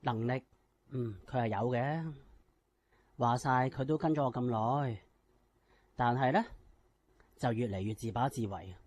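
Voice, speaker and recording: Man acting a husky, grumbling company boss, Cantonese. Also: mid-range voice, measured pace, pauses often, darkish tone, natural voice. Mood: resentful. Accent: Hong Kong Cantonese. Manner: grumbling, self-important. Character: aggrieved, scheming male company boss. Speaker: radio drama actor. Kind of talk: radio drama acting.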